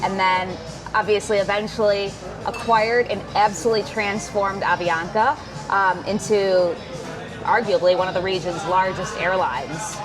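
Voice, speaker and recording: A woman's voice: medium pitch, steady tone, normal speed, music in the background. A woman hosting a podcast, reading a polished introduction and then asking questions. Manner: polished, friendly, brief backchannels. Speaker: podcast host. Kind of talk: scripted intro then interview.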